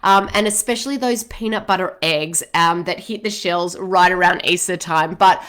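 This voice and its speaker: An Australian woman in her thirties talks straight to a webcam from a small home office. She is chatty and upbeat, dropping in fillers as she goes, and runs through long lists at a brisk, friendly clip. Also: fairly low pitch, fairly bright tone. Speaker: host of a weekly live Q&A for parents. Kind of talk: casual livestream advice talk. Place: home office room, indoors.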